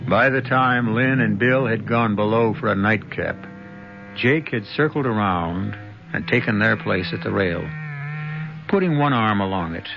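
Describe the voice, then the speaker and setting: An actor playing a wry, knowing storyteller who hosts and narrates a 1970s radio mystery drama. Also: male voice, fairly low pitch, unhurried, gravelly. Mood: tender. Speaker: host narrator. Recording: dull old radio broadcast, music behind.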